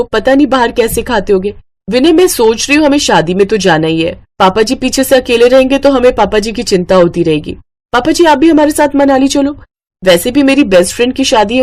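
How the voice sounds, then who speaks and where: A woman's voice: fairly high pitch, lively intonation, fairly quick, a little mellow, natural voice. A woman voicing a young, dutiful and firm daughter-in-law in a cartoon story, earnest and emphatic. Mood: caring. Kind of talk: Hindi moral-story cartoon acting.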